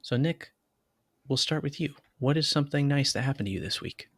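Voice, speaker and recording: A man's voice: medium pitch, animated, fairly quick, breathy edge, dry recording. Man hosting a casual podcast, close dry sound. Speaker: podcast host.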